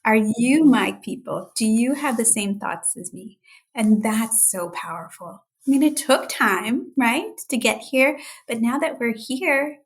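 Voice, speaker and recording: A woman with a fairly high voice talks as an interview guest about her clinical work. She is earnest and tells her story in a personal way, often quoting what other people said to her. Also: expressive, unhurried. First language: Urdu and Hindi besides English. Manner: earnest, quotes others' remarks in dialogue. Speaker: guest speech-language pathologist.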